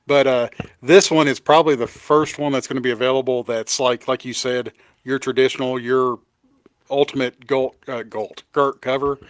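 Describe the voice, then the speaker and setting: A man's voice: medium pitch, steady tone, normal speed, dry recording. A man with a Kentucky accent co-hosting a casual chat over a video call, laughing often and restarting his sentences. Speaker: podcast co-host.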